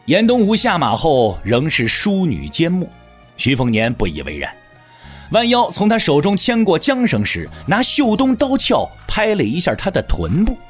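A man's voice: fairly high pitch, wildly swooping pitch, moderate pace. A man narrating a wuxia audio drama as a scripted, dramatic storyteller. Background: faint music.

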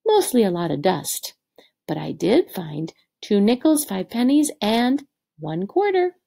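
Woman reading as a practical mother pig, expressive. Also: medium pitch, a little slow, creaky, heavily acted. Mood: mild disappointment. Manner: expressive storybook delivery. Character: practical, homely mother pig in a picture book.

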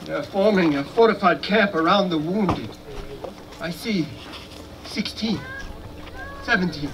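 A man's voice: high-pitched, fairly bright tone, exaggerated voice. A man playing a proud, folksy, scornful American soldier in a live historical battle dramatization. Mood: somber. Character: proud, folksy American soldier boasting about his army. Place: outdoor stone amphitheater, far camera. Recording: distant outdoor recording with noise.

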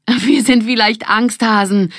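A woman's voice: fairly high pitch, fairly even intonation, unhurried, no room sound, clean studio sound, voice pushed up. A woman voicing a bold, spirited girl who leads a gang, in a commanding manner. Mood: relieved, scornful.

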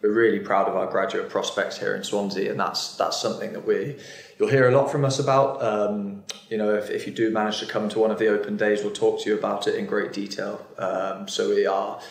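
A man's voice: on the low side, flat delivery, brisk, reverberant. A young man hosting a university open day panel, upbeat with many fillers, filmed from across a meeting room. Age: young adult. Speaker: host. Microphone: far camera.

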